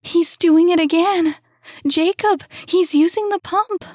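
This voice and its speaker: A woman voicing a desperate trapped young woman who urgently pleads and shouts through a door. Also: sits high, slow pace, dry recording, clean studio sound, voice pushed up. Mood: frantic.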